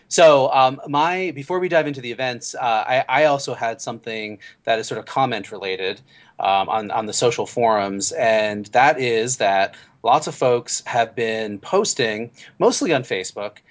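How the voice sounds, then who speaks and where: A man's voice: mid-range voice, measured pace. A man hosting a casual fan podcast, chatty and thinking aloud as he talks. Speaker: podcast host. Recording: slightly dull lo-fi audio.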